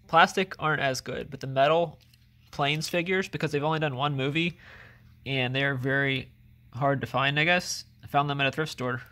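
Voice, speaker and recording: A man casually talking through items he has sold, relaxed and rambling, often correcting himself, recorded on a handheld phone at home. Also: a little high, creaky. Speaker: reseller vlogger. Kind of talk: casual haul video narration. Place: indoors at home, filming items on floor.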